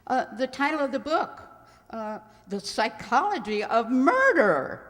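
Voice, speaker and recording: Woman playing flustered gushy librarian lady, radio play, slow and swoopy, big reverb. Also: high-pitched, heavily acted. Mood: polite, puzzled. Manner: flustered, stammering, excitable. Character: fluttery, gushing spinster college librarian, Miss Twig. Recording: reverberant hall.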